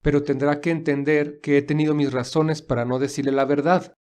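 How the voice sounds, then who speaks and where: A man playing an earnest, defensive young gentleman in love in an audio drama, speaking in a measured way. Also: on the high side, level tone, lightly acted.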